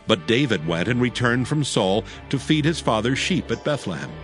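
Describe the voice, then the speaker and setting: A man narrating scripture from a script in a solemn, measured storytelling style. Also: mid-range voice, animated, fairly bright tone. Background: faint background music.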